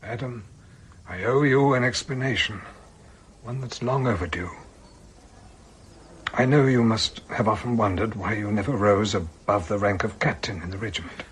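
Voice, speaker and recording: An actor in a radio drama playing an ailing, remorseful old British army colonel, speaking formally and haltingly in a husky, breathy voice. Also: male voice, fairly low pitch, slight background noise, natural voice. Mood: regretful. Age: older.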